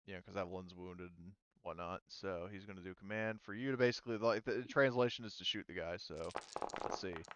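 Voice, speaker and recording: A man running a tabletop roleplaying game as game master, explaining rules and thinking aloud in a halting way. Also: low-pitched, fairly bright tone, clean tone, no echo, muffled recording.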